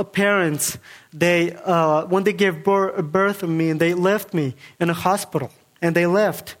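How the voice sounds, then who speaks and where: A young man gives his church testimony, his voice a touch higher than most men's. He talks earnestly and haltingly, with many fillers and restarts as he searches for words. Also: fairly bright tone. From Kazakhstan. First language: Kazakh. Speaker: guest speaker giving testimony.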